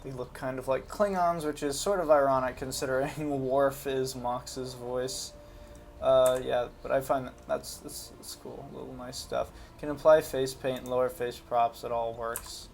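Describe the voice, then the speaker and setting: A man's voice: mid-range voice, steady tone, unhurried, gravelly, slight background noise. A man giving casual, unscripted commentary on a video game, talking informally and hesitantly as he thinks aloud. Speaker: gaming video host.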